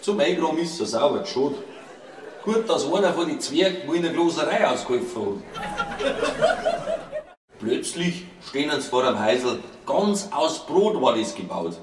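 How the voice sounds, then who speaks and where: Bavarian man, lively author reading comic rhyming tales, playful and wry, roomy distant recording. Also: a little high, moderate pace. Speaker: author reading from his own book. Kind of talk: comic verse storytelling in dialect, read aloud live. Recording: roomy live recording, distant mic, faint noise.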